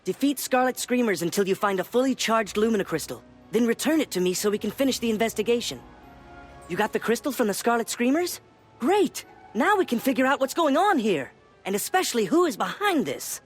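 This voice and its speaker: A man playing an eager, slightly smug young student wizard in a video game, expressive and bookish. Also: very high voice, fairly quick, faint music behind. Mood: excited. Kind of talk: video game character acting.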